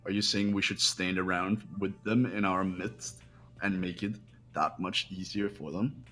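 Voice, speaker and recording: A man's voice: low voice, fairly even intonation, a little slow, pushed and bright, soft background music. A man voicing a cold, arrogant young man who talks down to everyone. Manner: haughty, dismissive.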